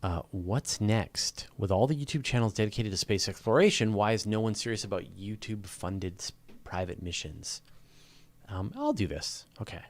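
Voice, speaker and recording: A man's voice: fairly low pitch, normal speed. A man hosting a live question-and-answer stream, explaining things conversationally and thinking aloud as he stresses his key points. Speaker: host of a live space and astronomy Q&A.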